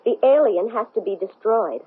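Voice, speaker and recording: A woman's voice: medium pitch, lively intonation, moderate pace, husky. A woman playing a desperate, insistent astronaut possessed by an alien, urgent and pleading, in a 1970s radio drama. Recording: muffled, narrow-band old radio.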